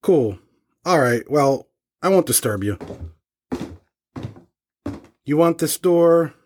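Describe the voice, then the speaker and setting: An actor playing an absent-minded, laid-back young rock musician with a casual delivery in a horror audio drama. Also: male voice, a little high, animated, slow, darkish tone, slight background noise. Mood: casual, puzzled.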